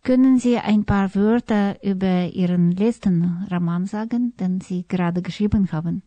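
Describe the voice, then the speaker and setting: A woman's voice: medium pitch, normal speed, mellow, no echo. A woman working as an interviewer, putting questions to a guest.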